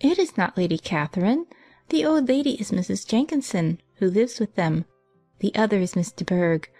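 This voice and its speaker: An excitable young gentlewoman played by a woman exclaims eagerly in a breathless voice of middling pitch. She is naive and easily awed, in storybook period style, over faint music. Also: normal speed. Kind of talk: dramatized audiobook character acting.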